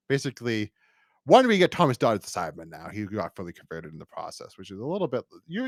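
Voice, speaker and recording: A man with a fairly low voice talks casually as a podcast host reviewing a story. He rambles and stumbles, doubling back over his words. The sound is close and dry. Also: no echo.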